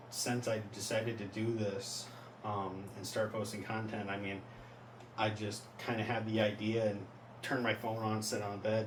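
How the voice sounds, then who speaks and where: A man in his early twenties talking casually in a self-reflective vlog, rambling and halting, often questioning himself as he goes. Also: lowish voice. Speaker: vlogger. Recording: echoey, noisy, distant from mic.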